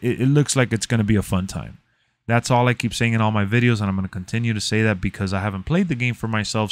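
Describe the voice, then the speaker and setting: A man's voice: on the low side, no echo. A man in his thirties talks to viewers in a casual, emphatic way, like a host reacting to gaming news. He leans on his points conversationally. The sound is close and dry, with very little room in it. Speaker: gaming and wrestling video host. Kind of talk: casual reaction commentary to camera.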